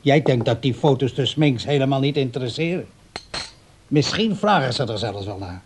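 A man's voice: mid-range voice, animated, normal speed, slight background noise, lightly acted. An actor playing a stubborn, easily offended old grandfather and war veteran in a radio play, muttering and reminiscing. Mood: nostalgic, insistent. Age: older.

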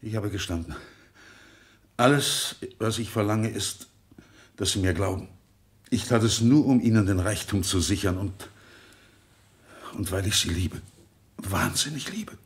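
An actor playing an obsessive, lovesick murderer in a radio crime play, impassioned, bitter and pleading. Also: male voice, on the low side, unhurried, pauses often. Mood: desperate pleading.

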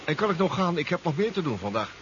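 An actor playing a hesitant but indignant professor and inventor in a 1970s radio drama. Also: male voice, a little high, very animated, fast talker, fairly bright tone. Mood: indignant, guarded. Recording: muffled, noisy 1970s radio recording.

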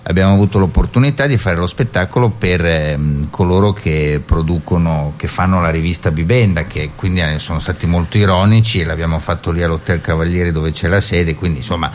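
A man with a very low voice, chatting as a radio guest and tossing in quick jokes. A faint hiss sits under the studio sound. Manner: joking, quick asides. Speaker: stage performer and guest. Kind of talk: casual radio chat.

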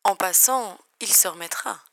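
A woman's voice: mid-range voice, normal speed, gravelly, noisy background, natural voice. A woman playing a composed, reassuring security agent in an audio drama. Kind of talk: French audio drama acting.

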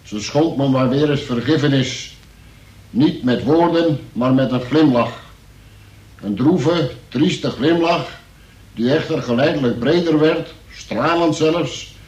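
A man's voice: medium pitch, a little slow, darkish tone, echoey room, distant mic, highs cut off, close to an everyday voice. An actor playing a wry arrested man who narrates his statement in mock-formal style for a Dutch radio play. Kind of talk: scripted first-person radio play narration.

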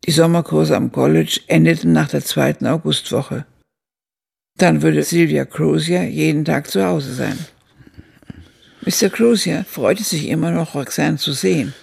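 An actress playing an aged woman who looks back on her youth in a radio drama, narrating in a measured, reflective, husky voice. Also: deep voice, darkish tone, heavily acted. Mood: reflective, sober. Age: older.

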